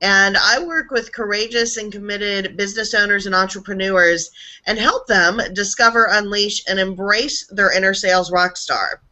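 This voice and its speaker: A hoarse woman speaking as a guest on a video call, upbeat and punchy, like a practiced sales pitch. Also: moderate pace, sharp tone. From South Florida, US. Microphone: video call, not on camera. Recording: lo-fi online call audio.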